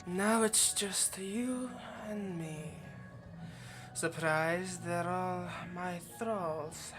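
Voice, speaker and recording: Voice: medium pitch, moderate pace, music in the background, lightly acted. Smug, teasing vampire, acted out for roleplay. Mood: menacing, possessive. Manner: teasing, condescending, drops to whispers. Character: smug possessive vampire, teasing, confident, menacing predator. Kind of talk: ASMR roleplay monologue.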